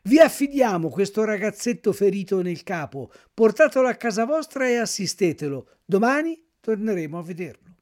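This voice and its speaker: A man's voice: high-pitched, big pitch swings, moderate pace, darkish tone, voice pushed up. A man voicing stern military policemen in a storybook reading, giving curt, soldierly orders. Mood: commanding. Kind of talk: audiobook character reading.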